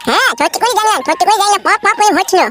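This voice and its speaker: A cheeky little tattletale boy, high-pitched and insistent. He repeats himself quickly in cartoon style, over music. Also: sharp tone. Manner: insistent, repetitive. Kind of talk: amateur comedy cartoon dialogue.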